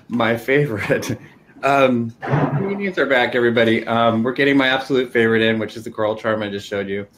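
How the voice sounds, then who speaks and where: A man's voice: mid-range voice, fairly quick. A man with a mid-range voice co-hosts a live stream and gives an informative product rundown, filled with ums. Faint noise sits under the stream audio. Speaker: regular co-host, flower specialist. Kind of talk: live-stream chat show.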